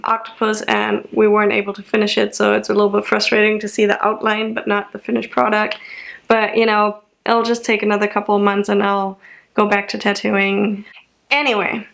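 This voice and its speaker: A woman's voice: mid-range voice, gravelly, a bit bright. A young German woman chatting casually to camera, rambling and laughing often. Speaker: vlogger.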